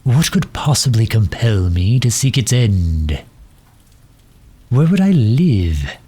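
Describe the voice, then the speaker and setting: A man playing a pompous, pedantic but affable gentleman supervillain in an ASMR roleplay, with a husky, breathy voice and a wordy, condescending manner full of hesitant pauses. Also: mid-range voice, sing-song, full character voice. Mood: flustered, indignant. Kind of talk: ASMR roleplay audio drama.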